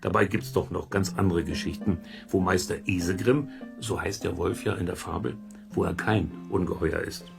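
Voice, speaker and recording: A man's voice: sits low, normal speed, creaky, music in the background. An actor playing a folksy, curious man who muses and chats to listeners in a children's audio drama. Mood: musing, amused. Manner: musing, chuckling, colloquial, talks to listeners. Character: folksy, curious amateur investigator, chatty middle-aged man. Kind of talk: German children's audio drama, chatty explaining.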